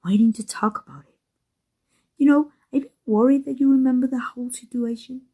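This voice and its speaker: A woman playing an embittered villain secretly in love with a rival, in an intense ASMR roleplay monologue, husky and breathy, often dropping to a whisper. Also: high voice, fairly even intonation, fairly quick, dark tone. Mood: shaken, wary, then anguished confession.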